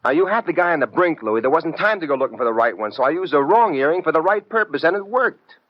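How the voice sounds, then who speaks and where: Wry hard-boiled private eye, old radio. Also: male voice, fairly high pitch, animated, quick pace, no room sound. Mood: insistent, sly. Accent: American. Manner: wry, slangy, second-person noir narration. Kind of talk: 1950s radio detective drama acting. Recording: dull vintage radio recording, music underneath.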